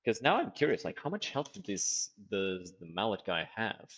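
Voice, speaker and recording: A man's voice: lowish voice, huge pitch swings, normal speed. A man from Portugal with a South African-tinged accent, streaming a game and chatting casually, with profane outbursts. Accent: South African-tinged English. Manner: casual and profane, sudden loud yelps of surprise. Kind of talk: live gameplay commentary, reading chat.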